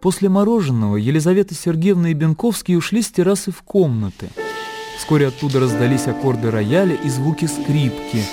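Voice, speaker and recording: A man narrating literary fiction for a radio drama in a measured, expressive storytelling manner. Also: fairly high pitch. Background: music.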